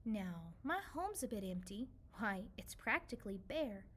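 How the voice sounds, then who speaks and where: A kindly, lonely old woman from a children's storybook, played by a woman reader. The delivery is gentle, soothing and softer, with a bright, clear edge. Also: medium pitch, sing-song, measured pace, slight background noise. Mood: gentle, nostalgic. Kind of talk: children's storybook read-aloud.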